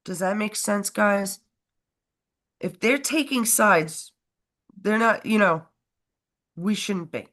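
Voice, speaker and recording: A woman's voice: medium pitch, slow, long pauses, fairly bright tone. A stuffed-up woman co-hosting a casual political chat livestream, rambling and breaking off mid-sentence, often laughing. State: stuffed up from a cold. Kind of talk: casual live-stream chat.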